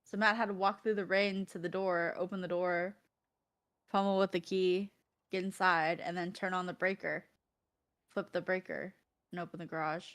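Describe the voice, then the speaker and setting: A woman's voice: fairly low pitch, level tone, normal speed, fairly bright tone. A young woman from Connecticut chatting casually while streaming, rambling through stories and laughing often. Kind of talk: casual chat while gaming.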